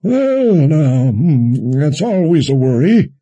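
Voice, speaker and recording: A shrewd, kindly old wizard from a fantasy audiobook. He talks slowly and folksily in a husky, raised voice, musing and gently teasing. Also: on the high side, very animated, no room sound, dull audio, voice pushed up, exaggerated voice. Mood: reassuring. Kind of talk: audiobook character dialogue.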